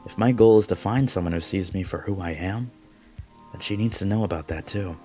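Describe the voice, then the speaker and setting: A man plays an earnest, gentle alien from a video game in a low voice. He is polite and measured, and music plays softly behind him. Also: natural voice. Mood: modest, sincere. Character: gentle, earnest, modest male alien café regular. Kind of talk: visual novel fan dub.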